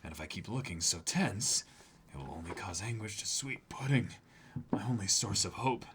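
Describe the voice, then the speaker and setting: A man's voice: on the low side, lively intonation, moderate pace, a bit bright, a little hiss or hum, pitched below the performer's own voice. A man playing an earnest, self-sacrificing, lovesick young pirate cook in a dramatic comic reading. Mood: resigned. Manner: earnest, melodramatic.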